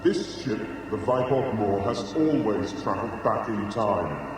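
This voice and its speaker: A man's voice: fairly low pitch, lively intonation, a little slow. An actor playing a grave, stern and lordly alien elder statesman who makes solemn pronouncements in a radio science fiction drama. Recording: cavernous reverb with music behind.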